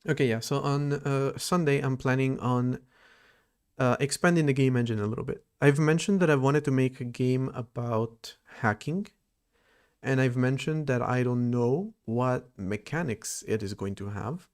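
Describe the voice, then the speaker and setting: Gravelly man rambling on a coding stream. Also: medium pitch, normal speed. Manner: rambling, thinking aloud, reacting to chat. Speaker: live-streaming programmer. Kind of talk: casual livestream chat while coding.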